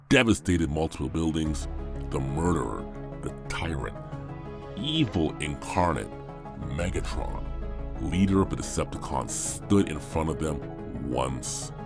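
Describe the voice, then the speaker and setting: A man's voice: a bass voice, expressive, slow, gravelly. A man narrating a scripted audio drama in a solemn, storytelling manner. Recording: prominent music under voice.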